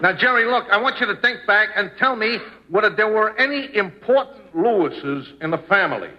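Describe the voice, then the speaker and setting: An actor playing a brash, eager scheming pal in a 1950s radio comedy, on an old muffled broadcast. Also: male voice, sits high, expressive, fairly quick, a little hiss or hum. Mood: brash, confident.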